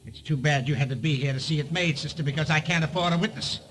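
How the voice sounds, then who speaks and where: A man acting a cold killer from an old radio noir. His voice is sharp and a little high, menacing and slangy, on a faintly noisy vintage recording. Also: fast talker, natural voice. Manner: menacing, slangy tough talk. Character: cold male gunman, mock-apologetic killer. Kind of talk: 1950s radio crime drama acting.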